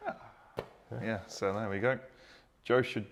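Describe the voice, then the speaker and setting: A man's voice: on the low side, steady tone, slow pace, a little hiss or hum. A man co-hosting a live stream, chatting casually and reading out viewer comments. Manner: chatty, self-deprecating, reads out viewer comments.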